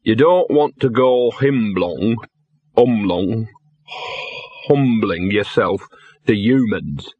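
A man voicing a cynical, maudlin little talking dog, hoarse and drunkenly slurred, in a comic fantasy audiobook. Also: lowish voice, lively intonation, slow, no room sound, highs cut off, exaggerated voice. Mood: drunken lecturing. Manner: slurred, rambling, grumbling.

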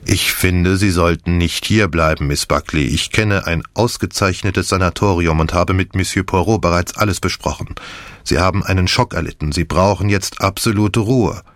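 A practical, firm storybook doctor, played by a male narrator. His low voice is soothing and matter-of-fact, recorded close and dry. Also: moderate pace, fairly bright tone, no echo. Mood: firm, concerned. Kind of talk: audiobook dialogue.

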